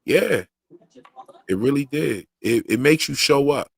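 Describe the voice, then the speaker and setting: Gravelly-voiced man chatting on a livestream. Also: medium pitch, expressive, unhurried, no echo. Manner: slangy, talks in a loose rhythm; says he once stuttered heavily. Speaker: YouTube channel host on a livestream. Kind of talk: casual livestream chat.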